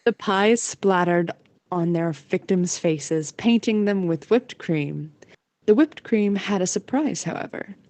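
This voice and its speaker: Woman, story narrator, scripted reading, slightly low pitch, warm dark tone, close dry recording. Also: slow pace, dry recording.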